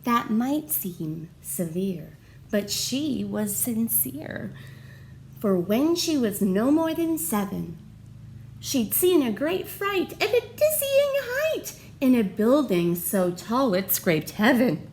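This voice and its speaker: Animated woman reading a storybook, unhurried pace. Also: on the high side, slight background noise, poor audio. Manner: dramatic, deliberate phrasing. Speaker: storybook reader for children. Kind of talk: expressive picture-book read-aloud with questions to young listeners.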